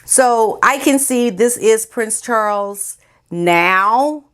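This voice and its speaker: A woman's voice: on the high side, drawn-out words, a bit bright, clean tone. An American woman giving informal opinion commentary as a vlogger, speaking in a sassy, emphatic, colloquial way.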